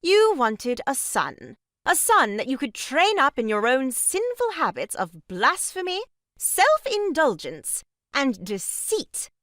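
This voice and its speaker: A woman's voice: high voice, wildly swooping pitch, takes it slowly, fairly bright tone, no echo, studio quality, full character voice. An actress playing a prim, moralising married lady, reproving and emphatic, in a period farce audio drama. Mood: righteous reproach.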